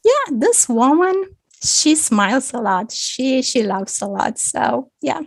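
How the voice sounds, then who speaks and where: A woman in her fifties from Romania, speaking with a Romanian accent as a guest on a video-call chat, thoughtful and quick to laugh. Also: high voice, very animated, creaky, dry recording. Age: 50s. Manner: slow, thoughtful, laughs often. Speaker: guest author. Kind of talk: casual video-call interview.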